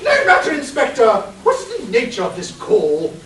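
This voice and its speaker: A man's voice: extremely high pitch, wildly swooping pitch, moderate pace, a bit bright, heavily acted. A man playing a dim, imperious British titled lady with a haughty, exclamatory manner in a comic radio play. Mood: brisk, haughty. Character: titled upper-class woman, dim, imperious, breezily callous amateur sleuth. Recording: distant mic, roomy echo, faint hiss.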